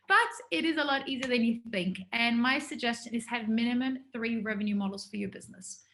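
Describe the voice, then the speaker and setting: A woman's voice: high-pitched, fairly bright tone. A woman giving an informal business workshop to students, talking in a conversational, enthusiastic way.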